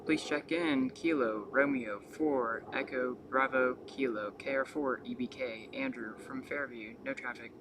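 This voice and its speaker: A man's voice: mid-range voice, steady tone, a little slow, hardly any pauses. A man who livestreams amateur radio, talking casually and giving short check-ins. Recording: very loud background noise.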